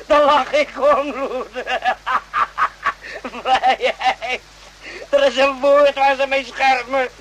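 A very high-voiced military man in a radio drama, obsessed with betrayal and bitter. He speaks slowly and haltingly, circling back on his own words in agitation. The recording has a faint layer of noise. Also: a bit bright, exaggerated voice, low-quality recording. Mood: scornful, despairing. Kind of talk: Dutch radio play acting.

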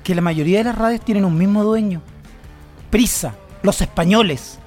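A man's voice: high-pitched, moderate pace, darkish tone, faint music behind. A Chilean man in his thirties co-hosting a comedy podcast, joking and ranting with mock indignation. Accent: Chilean Spanish. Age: 30s. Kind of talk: comedic banter.

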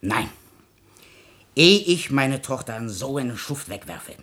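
A man's voice: medium pitch, big pitch swings, a little slow, pushed and bright. An actor playing a blunt, hot-tempered but loving older musician father in a classic radio drama, with an earthy, exclamatory delivery. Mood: angry, blustering. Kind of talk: classic German stage drama radio acting.

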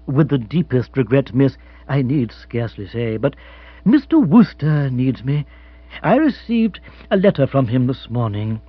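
A man voicing a grave, formal valet who speaks in a measured, deferential way. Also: mid-range voice, sing-song, husky, no room sound, highs cut off. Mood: apologetic, grave.

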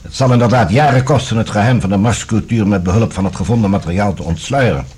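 A man acting a formal, authoritative general in a science-fiction radio drama, with a husky voice and a deliberate, questioning manner. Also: lowish voice, darkish tone. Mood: probing, measured. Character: authoritative male general, probing and measured questioner.

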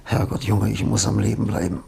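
An actor playing an ailing, exhausted middle-aged novelist, irritable and wistful, sighing and groaning in a German radio drama. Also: male voice, fairly low pitch, little pitch movement, brisk, gravelly, a little mellow, husky, heavily acted. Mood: wistful, weary. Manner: weary, groaning, sighing, breaking into whispers.

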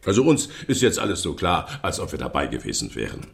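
An actor playing a self-assured, chatty police inspector in a German radio drama, explaining things in a smug, teasing way. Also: male voice, sits low, huge pitch swings, quick pace, vocal fry, exaggerated voice. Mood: smug, confident.

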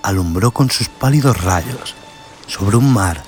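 A man's voice: fairly low pitch, animated, slow. A man narrating a scripted horror tale in a solemn, theatrical way. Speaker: host and narrator of a horror audio story show. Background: music.

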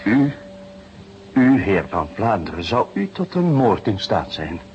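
An actor playing a shrewd, unruffled police inspector who questions in a measured, drily insistent way in a detective radio drama. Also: male voice, lowish voice, sing-song, darkish tone, muffled recording, a little hiss or hum. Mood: pressing, accusing.

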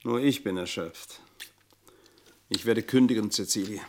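A pompous, aggrieved ageing manservant. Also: male voice, fairly low pitch, unhurried, a little hiss or hum. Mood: weary, aggrieved. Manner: stilted, formal, self-pitying diction. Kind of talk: German radio play acting.

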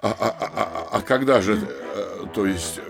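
An actor playing a flustered, fawning middle-aged town mayor who stammers obsequiously in a classic comedy audio play. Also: male voice, fairly low pitch, very animated, a little slow, gravelly, music in the background, heavily acted. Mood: meek, obliging.

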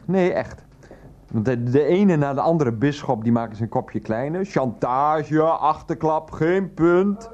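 A man's voice: on the high side, animated, unhurried, darkish tone, dull audio. A man playing a fussy, hospitable man of the house in a radio drama, repeating himself in little stock phrases. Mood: indignant. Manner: repetitive fussy reassurances, filler phrases.

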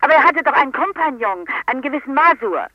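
A woman's voice: high-pitched, big pitch swings, fast talker, no room sound. An actress in a radio play playing a stubborn older woman who presses her case urgently through a telephone filter. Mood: urgent, persistent. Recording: dull telephone-like sound, dry.

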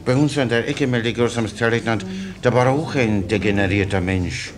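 An actor playing a cheerful, long-winded common soldier in a radio play, obsequious and blandly innocent. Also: male voice, on the low side, normal speed, crowd noise, lightly acted. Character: rambling, cheerful Czech common soldier, slyly naive.

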